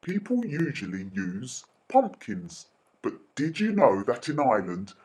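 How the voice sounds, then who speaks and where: A man with a mid-pitched voice presents facts to children. His delivery is slow and deliberate, with lively, expressive intonation throughout. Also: husky. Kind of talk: educational narration for children.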